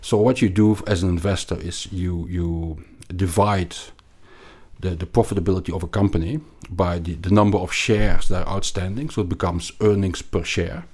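A man's voice: sits low, steady tone, normal speed, a little mellow, husky. A middle-aged man from Belgium with a Belgian accent, a finance guest explaining in an interview, often repeating words and restarting. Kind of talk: conversational interview.

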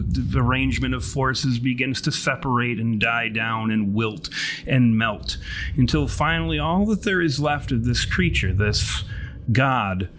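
A man's voice: mid-range voice, fairly bright tone, slight background noise, rough recording. A man working as game master, narrating a horror tabletop game in grandiose, wordy, wry language. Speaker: podcast writer and artist.

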